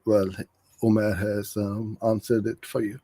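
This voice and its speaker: A man's voice: fairly low pitch, completely flat delivery, measured pace, mellow, dry recording. A middle-aged man from Karachi, Pakistan, coaching in an informal live question-and-answer session, frank and practical as he explains. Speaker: digital marketing agency owner hosting a live Q&A.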